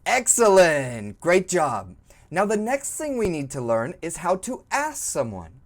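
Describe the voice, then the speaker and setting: A woman with a low voice that rises and falls a lot, teaching an English lesson. She speaks slowly and clearly, prompting the learner and praising each try. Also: sing-song. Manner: encouraging, repeats and prompts the learner. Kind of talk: slow, clearly spoken language lesson.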